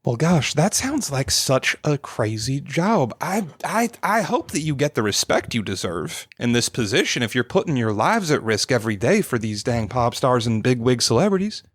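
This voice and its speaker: A man's voice: medium pitch, big pitch swings, moderate pace, few pauses, breathy edge, no room sound, clean studio sound. A man chatting casually as a player in an improvised tabletop roleplaying game.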